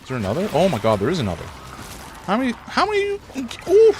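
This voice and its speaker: A man live-streaming a horror game, rambling through his commentary, chatting with viewers, and reacting loudly to scares, a little congested. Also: high-pitched, huge pitch swings, fast, soft background music. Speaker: gaming streamer.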